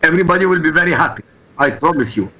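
A man's voice: fairly high pitch, lively intonation, rapid-fire, sharp tone, breathy edge, poor audio. A man from Iraq, a guest answering interview questions in an emphatic, insistent way. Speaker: guest commentator.

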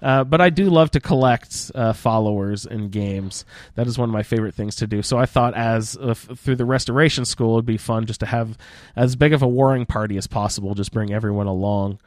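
A man hosting a casual gaming roundtable podcast, speaking in halting phrases with searching pauses. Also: fairly low pitch, no room sound, clean studio sound. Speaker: podcast host. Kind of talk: casual roundtable chat about a video game.

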